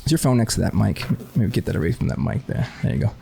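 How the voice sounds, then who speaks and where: A young man in his twenties co-hosting a podcast, bantering and teasing on a boom-mounted studio microphone in a home bar studio. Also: fast talker, creaky, a crowd in the background. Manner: joking, energetic, jumps up in pitch when teasing. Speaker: podcast co-host. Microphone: boom-mounted studio mic.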